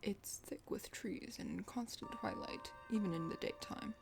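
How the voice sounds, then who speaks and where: A woman's voice: mid-range voice, moderate pace, creaky, music in the background, natural voice. A woman voicing a proud but bashful young hunter prince with a crush, haughty and easily flustered, in an ASMR play-through of a dating game. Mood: wistful, soft.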